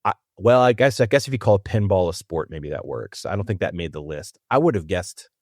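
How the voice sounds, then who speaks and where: A man's voice: low-pitched, very animated, fairly quick, no echo, clean studio sound. Chatty man hosting a quiz podcast. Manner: chatty, amused, explains at length. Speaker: quiz host. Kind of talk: casual game-show hosting.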